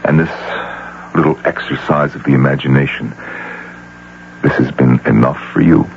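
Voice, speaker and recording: A man's voice: very deep voice, steady tone, moderate pace. An actor playing an earnest, concerned man who pleads insistently for hard truth, in old-time radio drama style. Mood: apologetic. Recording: dull, noisy old radio broadcast.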